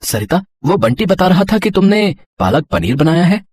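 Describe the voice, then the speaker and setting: Male actor as caring farmer father in cartoon tale; very husky, animated delivery. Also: high-pitched, moderate pace, dry recording, exaggerated voice. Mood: curious, amused. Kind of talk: cartoon story voice acting.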